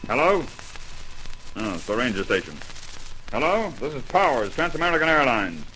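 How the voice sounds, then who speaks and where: A man's voice: mid-range voice, sing-song, normal speed, pushed and bright. A man playing a domineering, brusque airline general manager in 1930s-style radio drama acting. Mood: suspicious. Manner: brusque, demanding, blustering. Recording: noisy old radio recording.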